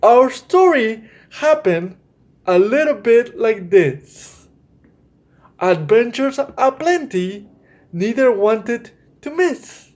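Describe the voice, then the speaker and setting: Slow, animated man hosting children's storytime. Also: extremely high pitch. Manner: addresses children directly, often checks with "right?". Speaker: storytime host reading to children. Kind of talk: explaining to children, read-aloud storytelling.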